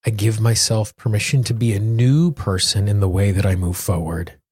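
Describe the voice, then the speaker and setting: A man hosting a solo podcast, speaking earnestly in deliberate phrases, repeating words for emphasis. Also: on the low side, flat delivery, a little mellow, slightly rough, no room sound. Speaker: podcast host. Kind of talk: solo podcast talk with guided affirmations.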